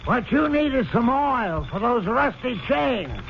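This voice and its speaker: A man's voice: extremely high pitch, very animated, a little slow, vocal fry, heavy background noise, heavily acted. An actor playing a stubborn, skeptical middle-aged American millionaire father, brusque and blustering, in old-time radio style. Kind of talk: old-time radio comedy-drama acting. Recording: dull old radio broadcast.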